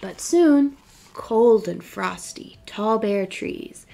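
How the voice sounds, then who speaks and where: A woman's voice: medium pitch, animated, drawn-out words, vocal fry, dark tone. A young adult woman reading picture books aloud as a children's librarian, encouraging and speaking directly to young listeners. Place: indoor library room with bookshelves.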